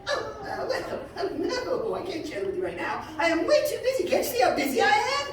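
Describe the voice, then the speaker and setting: Fields: Figure: woman doing giggly bragging hare. Pitch: very high. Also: wildly swooping pitch, brisk, slight background noise, exaggerated voice. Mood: boastful. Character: boastful male hare, giggly and evasive. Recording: echoey room, mic far off.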